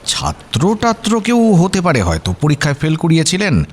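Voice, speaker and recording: A man's voice: on the high side, wildly swooping pitch, moderate pace, husky, heavily acted. A man playing an affable local police officer in an audio drama, talking in a genial, conversational way. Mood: speculating. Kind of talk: Bengali radio drama acting.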